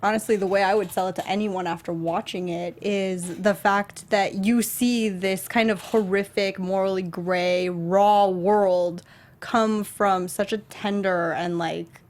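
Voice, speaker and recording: A woman's voice: medium pitch, steady tone, a little slow, darkish tone. A woman co-hosting a talk show, discussing things in an informal, thoughtful, conversational way. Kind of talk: casual video game and film discussion.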